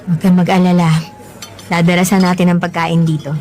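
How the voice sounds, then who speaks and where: Gentle, reassuring Filipino woman played by an actress. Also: fairly low pitch, flat delivery, fairly quick, a little hiss or hum, natural voice. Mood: caring. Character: caring, generous girlfriend soothing her troubled lover. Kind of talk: radio drama acting.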